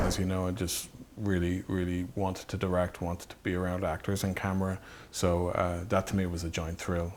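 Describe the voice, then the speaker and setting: A man reminiscing in a documentary interview as a filmmaker, in a halting, thoughtful way with frequent pauses. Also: low-pitched. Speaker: film director and effects artist being interviewed. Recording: steady background noise.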